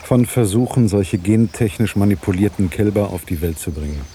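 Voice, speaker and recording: An actor playing a frustrated, wryly bitter journalist with dry, terse delivery in a radio play. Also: male voice, lowish voice, normal speed, dark tone, slight background noise, close to an everyday voice. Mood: troubled.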